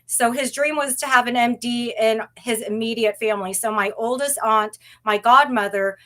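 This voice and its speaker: A woman whose first language is Tagalog, giving an earnest, measured presentation on a video call. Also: on the high side, fairly even intonation, a bit bright. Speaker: presenter of a university session. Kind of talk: online presentation, warm and formal.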